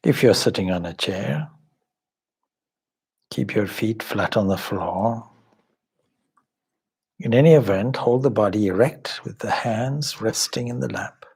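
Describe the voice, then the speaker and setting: A man leading a guided meditation as a spiritual teacher, speaking in measured, deliberate short phrases with long pauses and formal, contemplative wording. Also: fairly low pitch, creaky, darkish tone, slightly rough. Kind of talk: guided meditation, slow spoken instruction.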